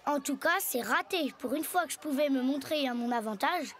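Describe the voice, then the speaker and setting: Very high-voiced earnest cartoon boy. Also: expressive, brisk. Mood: downcast. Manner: hesitant, eager. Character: earnest, shy, lovestruck nine-year-old boy hero. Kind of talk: children's cartoon acting. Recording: loud music behind the voice.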